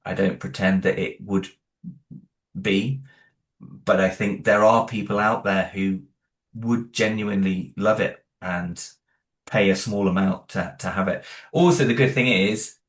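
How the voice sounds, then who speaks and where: A British man with a low-pitched voice talks as a guest in a casual interview. He sounds earnest, feeling his way through his thoughts with some hesitation. The recording is noticeably roomy, with echo around his voice. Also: steady tone, unhurried. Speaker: interview guest, independent audio drama creator. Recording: roomy, echoing room sound.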